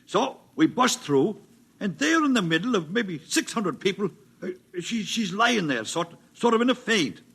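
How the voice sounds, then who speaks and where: Excitable husky big-city cop. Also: male voice, high-pitched, moderate pace, heavily acted. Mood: excitable, insistent. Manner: colloquial, working-class storytelling. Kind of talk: 1950s radio drama acting.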